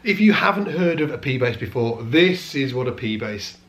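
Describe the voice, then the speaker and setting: A British man who is losing his voice, chatting casually to camera about bass guitars in a vlog. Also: very animated, normal speed, a bit bright. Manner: chatty, self-correcting, explaining as he goes. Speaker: bass teacher and vlogger.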